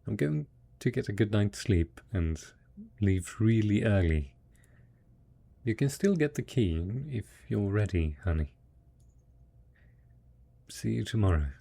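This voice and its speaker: Husky, slow-talking devoted husband played by a man. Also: on the low side, animated, heavily acted. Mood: tender anticipation. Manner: gentle, coaxing, encouraging, full of endearments. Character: devoted, tender, hopeful husband caring for ghoul spouse after apocalypse. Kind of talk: roleplay audio drama, intimate monologue addressed to listener.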